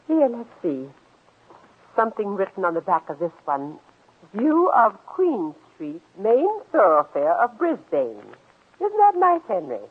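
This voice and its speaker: A woman's voice: medium pitch, wildly swooping pitch, measured pace, breathy edge, exaggerated voice. An actress playing a genteel, pleasantly evasive small-town woman with a polite, airy manner in 1940s radio drama. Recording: tinny, muffled old radio recording.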